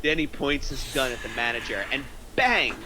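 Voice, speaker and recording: A man's voice: medium pitch, expressive, normal speed, background noise. A man narrating stage directions from a script at a table reading.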